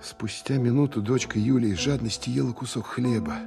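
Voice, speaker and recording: Audio drama monologue, male actor: young scout, creaky mid voice, colloquial, wry, over music. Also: medium pitch, fairly quick, natural voice. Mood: musing. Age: 20s. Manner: colloquial, musing to himself. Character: wry, emotional 25-year-old counterintelligence scout recounting a stakeout. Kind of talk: dramatic first-person monologue. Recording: music behind the voice.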